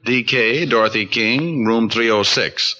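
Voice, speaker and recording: A man's voice: mid-range voice, a little slow, bright, forceful tone. An American man playing a cocky, tough private detective in 1940s radio drama style, wry, flippant and hard-boiled. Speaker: lead actor and narrator. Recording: muffled 1940s radio recording with music.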